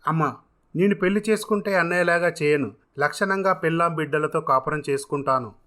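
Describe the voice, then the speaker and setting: A man's voice: fairly high pitch, a little fast, sharp tone. A man voicing a frank, confident young son talking with his mother in a dramatised story. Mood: assertive.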